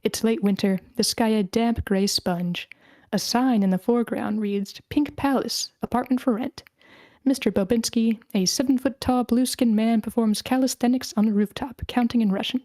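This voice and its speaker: A woman's voice: on the high side, fairly even intonation, normal speed, a little mellow, no echo. A woman hosting and narrating an informal online screenplay reading, casual and prone to interrupting herself. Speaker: table read host and narrator.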